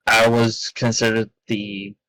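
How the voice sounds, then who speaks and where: A man's voice: lowish voice, monotone, slow, creaky, sharp tone, no echo, poor audio. A man chatting in a laid-back, rambling way on a podcast, with many fillers. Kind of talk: casual podcast chat.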